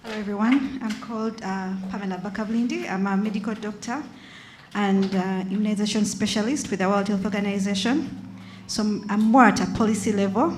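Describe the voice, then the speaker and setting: A woman's voice: medium pitch, background noise. Composed woman introducing herself. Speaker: medical doctor. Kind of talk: self-introduction.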